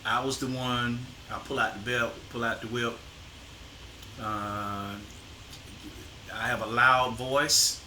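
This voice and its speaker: Emphatic middle-aged man, bright and echoey. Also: mid-range voice, fairly even intonation, slow pace, pauses often. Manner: firm, emphatic, rises for emphasis. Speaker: host, father interviewing his son. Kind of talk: casual conversation. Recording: echoey room with faint noise.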